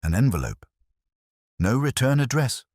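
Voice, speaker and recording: A man's voice: medium pitch, very animated, moderate pace, darkish tone, no room sound, clean studio sound, pitched above the performer's own voice. A man voicing a composed, wistful storybook woman in a polite, reflective, understated way. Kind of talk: storybook character dialogue.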